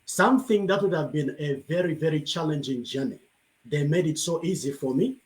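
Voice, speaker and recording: A man's voice: on the high side, brisk, a little mellow. A man from Zambia who leads a rural congregation as pastor, answering on a video-call panel in a grateful, storytelling way.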